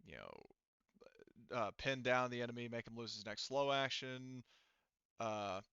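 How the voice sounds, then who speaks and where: A man narrates a tabletop game as its game master. His voice is smooth and mid-pitched, and he talks informally, wandering through rules and action. The sound is very dry and a little dull. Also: very animated, a little slow, a bit bright, dry recording. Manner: informal, rambling, swears. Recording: dry, slightly muffled voice mic.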